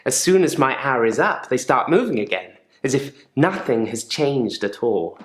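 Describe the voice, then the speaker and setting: Casting: male actor as controlled, unsettling therapy patient for a live-action game. Deliberate, polite delivery with quiet menace, mid-pitched, echoey room. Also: lively intonation, lightly acted. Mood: quietly eerie.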